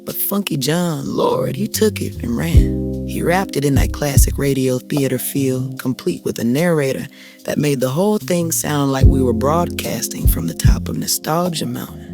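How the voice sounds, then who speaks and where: A middle-aged woman narrating a scripted first-person story in a deliberate, poetic, confiding way with emphatic pauses. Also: a bass voice, over music. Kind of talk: dramatic scripted audio storytelling.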